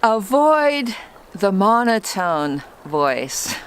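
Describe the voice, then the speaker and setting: A woman who once worked as a trial lawyer, coaching viewers on public speaking in a calm, deliberate and well-projected voice. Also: medium pitch, a little hiss or hum. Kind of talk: instructional talk to camera.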